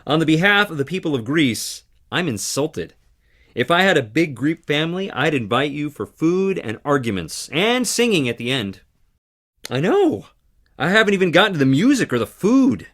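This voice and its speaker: An actor playing a charming, theatrical theater performer with dramatic flair in a video game. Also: male voice, fairly high pitch, big pitch swings, normal speed, a bit bright. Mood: playful, theatrical. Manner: theatrical, dramatic, swinging between playful and intense. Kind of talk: video game character dialogue.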